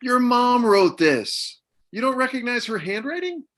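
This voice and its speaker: A man's voice: very high voice, big pitch swings, a little slow, a bit bright, heavily acted. A man playing a blunt, sarcastic, exasperated father of a grown son in a comedy table read.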